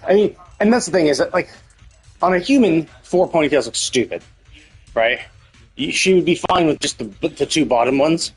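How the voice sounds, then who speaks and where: A man's voice: a little high, animated, normal speed, husky. Man casually rambling over background game music. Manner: rambling, opinionated, repeats himself, casual swearing. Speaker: live streamer playing a story game. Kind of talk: casual commentary on game story.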